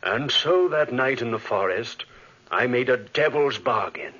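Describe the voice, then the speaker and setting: An actor playing a tormented, guilt-ridden scientist with a husky voice in melodramatic 1940s radio style. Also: male voice, medium pitch, animated, measured pace, noisy background, close to an everyday voice. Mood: grim, haunted. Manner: melodramatic, anguished. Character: tormented, guilt-ridden male scientist who created a monster. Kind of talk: 1940s radio acting with dramatic narration. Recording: dull 1940s radio recording.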